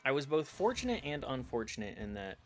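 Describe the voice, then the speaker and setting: A man's voice: mid-range voice, big pitch swings, brisk. A man talks casually as he plays a game on stream. His delivery swings up and down, wandering between gameplay remarks and self-deprecating asides, with light music underneath. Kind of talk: casual chat while commenting on gameplay.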